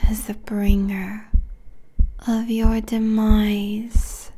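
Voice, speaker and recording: Slow, whispery succubus queen, acted by a woman. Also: mid-range voice, little pitch movement, mellow, poor audio. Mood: savoring, sultry. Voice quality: breathy, whispery, husky. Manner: slow, commanding, sultry, deliberate. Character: seductive, merciless succubus demon queen draining mortal souls. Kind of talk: intimate ASMR roleplay, scripted monologue. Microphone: close binaural microphone.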